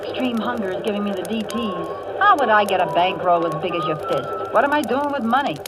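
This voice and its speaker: A very noisy 1940s radio drama recording carries an actress as a young, hard-up city woman. She narrates in a medium-pitched voice, wry and slangy, with a dramatic edge. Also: lively intonation, a little fast, natural voice. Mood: stunned, anxious. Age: young adult.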